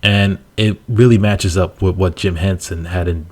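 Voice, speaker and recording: A man narrating TV show commentary in wordy, somewhat halting explanations. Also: low-pitched, fairly even intonation, a little mellow. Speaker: video essay narrator.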